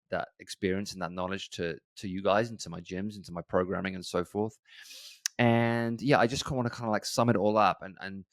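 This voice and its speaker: A man in his forties hosting his own fitness podcast alone, talking casually to his listeners with many pauses and restarts. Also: low voice, dry recording. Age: 40s. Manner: rambling, many ums and restarts, conversational. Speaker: gym owner and podcast host. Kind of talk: casual solo podcast talk.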